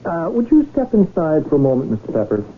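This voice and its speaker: Courtly, animated rich gentleman, tinny radio. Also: on the high side. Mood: explaining, smug. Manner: formal, florid, deliberate speech. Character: lonely rich gentleman, shrewd, courtly and happily in love. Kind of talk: 1970s radio drama acting. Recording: tinny, noisy old radio broadcast.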